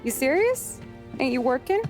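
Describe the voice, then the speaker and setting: Sharp-tongued American mobster's wife. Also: female voice, sits high, big pitch swings, moderate pace. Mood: wry, teasing. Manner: sarcastic, wry, slangy. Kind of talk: cinematic video game acting. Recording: music behind the voice.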